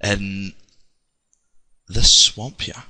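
A man's voice: fairly low pitch, steady tone, drawn-out words, bright, forceful tone. A young Scottish man chatting casually with lots of ums while narrating his gameplay. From Scotland. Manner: rambling, chatty, many ums. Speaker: let's play gamer. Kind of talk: casual game commentary.

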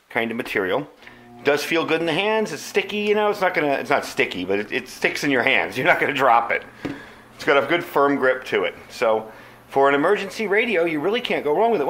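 Male product reviewer, medium pitch, informal and unscripted, talking straight to viewers over audible background noise. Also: a bit bright. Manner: informal, conversational, addresses viewers as folks. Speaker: product reviewer, channel host.

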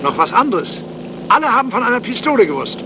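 A man's voice: very high-pitched, very animated, fast. An actor playing an inquisitive, pondering man in the style of a 1970s radio crime play. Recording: noisy motorboat ambience on the water.

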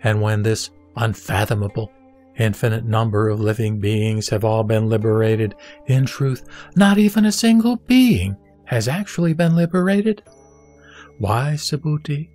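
Man voicing a solemn, measured Buddha over music. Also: on the low side, lively intonation, a little mellow. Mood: solemn teaching. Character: the Buddha, a wise, composed male spiritual teacher. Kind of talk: scripted sutra audio drama recitation.

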